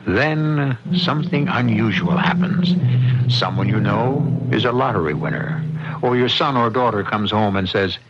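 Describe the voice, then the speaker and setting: A man introducing a radio mystery play as host, in measured, deliberate scripted narration. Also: mid-range voice, expressive, very noisy, natural voice. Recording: dull lo-fi radio recording. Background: music.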